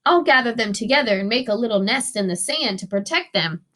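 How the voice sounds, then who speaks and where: A woman's voice: a little high, animated, brisk, fairly bright tone. A woman voicing a caring, concerned mother pteranodon in a children's storybook, in a motherly, exclaiming manner.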